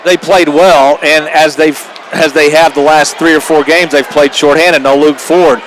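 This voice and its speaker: An American man gives radio color commentary after a game. His voice is distinctly high for a man, and he leans emphatically on his points and figures. A light wash of venue noise sits behind him. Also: steady tone, normal speed, a bit bright, rough recording. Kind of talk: post-game analysis with statistics.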